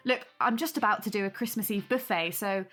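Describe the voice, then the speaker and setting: A woman's voice: mid-range voice, brisk, fairly bright tone, soft background music, natural voice. An actress playing a hospitable young British mother in an audio drama, polite, apologetic and reassuring. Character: Taylor, friendly hospitable young mother hosting Christmas. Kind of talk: naturalistic audio drama acting.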